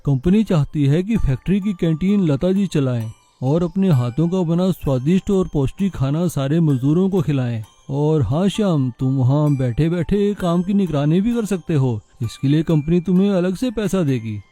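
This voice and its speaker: A man's voice: on the high side, lively intonation, moderate pace, few pauses, dark tone, soft background music, lightly acted. A man voicing a hearty, kindly factory manager in a cartoon story, jovial yet formal.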